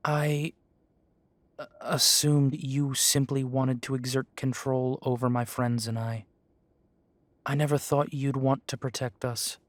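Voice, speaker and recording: A man's voice: mid-range voice, level tone, a little slow, dry recording, natural voice. A young man voicing a young male werewolf pack leader who talks earnestly and deferentially. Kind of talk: amateur audio drama acting.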